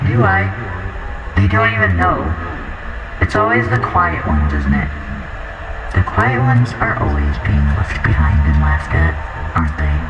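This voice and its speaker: A man's voice: very deep voice, dull audio. A man playing a haunted, brooding outcast who recounts a terrifying night in a first-person horror audio drama. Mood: bitter, brooding. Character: haunted outcast male friend recounting a terrifying house incident. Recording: roomy distant voice under loud music.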